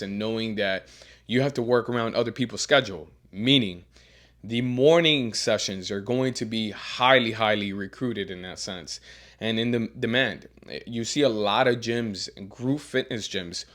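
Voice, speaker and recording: A man who coaches strength and teaches as a professor, giving earnest career advice with a deeper voice and frequent repeated words as he restarts. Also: fairly bright tone. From Miami. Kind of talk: advice monologue.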